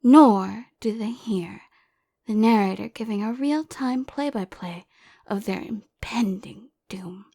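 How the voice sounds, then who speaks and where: Woman putting on a hushed, slow nature documentary narrator. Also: mid-range voice. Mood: mock-grave, suspenseful. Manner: hushed, breathy, slow and grandly dramatic. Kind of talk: parody nature documentary narration in audio roleplay.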